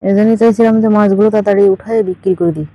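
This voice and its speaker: A woman's voice: mid-range voice, fairly even intonation, rapid-fire, natural voice. A woman with a Bangladeshi accent playing a teasing, demanding older aunt in an improvised phone-call drama. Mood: matter-of-fact. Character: teasing, demanding older aunt who owns a fish farm.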